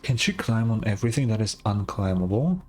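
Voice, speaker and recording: A man's voice: on the low side, expressive, fairly quick, darkish tone. A man giving casual gameplay commentary, muttering his reactions and swearing now and then. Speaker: gamer narrating own playthrough. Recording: game music behind voice. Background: game music.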